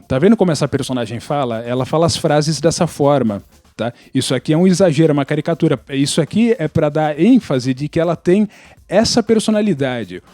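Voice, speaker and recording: A Brazilian man talks casually on a podcast, explaining his views in an opinionated way. His voice sits a little high yet stays soft and dark in tone. The sound is very dry, with no room around it. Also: no room sound. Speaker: podcast participant.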